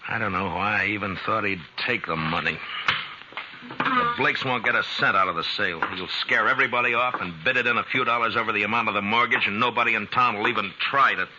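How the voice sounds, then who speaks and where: A man's voice: fairly low pitch, lively intonation, fast, lightly acted. Man acting a dry frontier marshal, old noisy radio. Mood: grave, dry. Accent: American. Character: steady, fair-minded frontier US marshal, sardonic lawman. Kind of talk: 1950s radio western acting. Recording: muffled, noisy 1950s radio recording.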